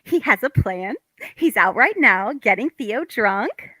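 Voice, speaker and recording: A woman acting a naive, earnest young housewife longing for romance, girlish and excitable in a comic table read. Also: fairly high pitch, slow pace, no room sound, full character voice. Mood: excited confession.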